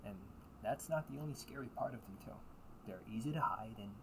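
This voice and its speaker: A man playing a teasing but protective older brother in an intimate audio roleplay, talking casually and earnestly with halting pauses. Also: medium pitch, background noise, close to an everyday voice. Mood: serious, gentle. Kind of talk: intimate audio roleplay monologue addressed to listener.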